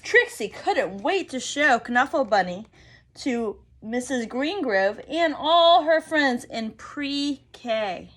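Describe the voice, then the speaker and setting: A woman reading a picture book aloud to young children, expressive and often pausing to ask them questions. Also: sits high. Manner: expressive, prompting listeners with questions.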